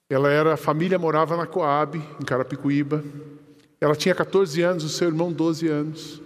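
A Brazilian man preaching a sermon as pastor, telling a story and quoting the people in it. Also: fairly high pitch. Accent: Brazilian Portuguese. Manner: lively storytelling, quoting others in conversation. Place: church hall. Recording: long church hall reverb.

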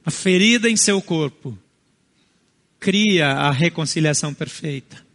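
A Brazilian man who first spoke another language at home, a pastor preaching an earnest sermon in short, measured phrases. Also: fairly high pitch, vocal fry, fairly bright tone, big-room sound. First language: not Portuguese.

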